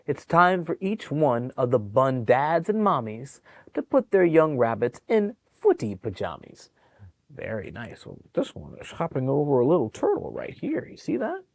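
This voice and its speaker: A man reads a bedtime picture book to young children with very animated, varied intonation. He breaks off for chatty, encouraging explanations. His voice is mid-pitched and the sound is dry. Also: normal speed, vocal fry, dry recording. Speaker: children's storytime reader at a public library.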